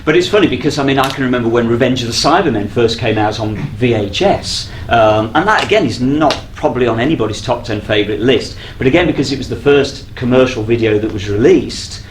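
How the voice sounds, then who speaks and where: A man's voice: medium pitch, animated, a little fast, hardly any pauses. A man co-hosting a live convention panel, chatting casually with teasing banter. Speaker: panel co-host. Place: on stage at a fan convention. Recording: echoey live hall with background noise.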